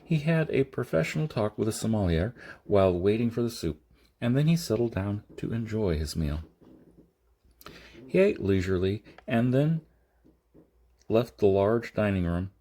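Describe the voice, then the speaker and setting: A man narrates a science fiction story in a low, husky voice. His intonation moves widely up and down as he reads. The delivery is a little halting, like an amateur audiobook reader working through the text. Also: sing-song, warm tone. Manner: halting, stumbles and rereads phrases.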